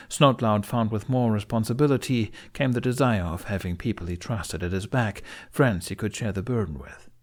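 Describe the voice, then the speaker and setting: A man's voice: lowish voice, darkish tone. A man reading a fantasy story aloud as dramatic scripted audiobook narration.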